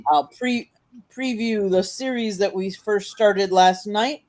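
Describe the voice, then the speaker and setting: Steady announcer reading a station promo. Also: mid-range voice, slow. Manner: steady, informational delivery. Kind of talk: scripted promo read.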